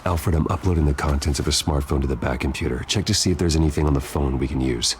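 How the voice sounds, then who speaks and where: A man playing a grim, guarded masked vigilante with a gravelly growl in a video game. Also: a bass voice, fast, a little hiss or hum. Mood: businesslike, terse.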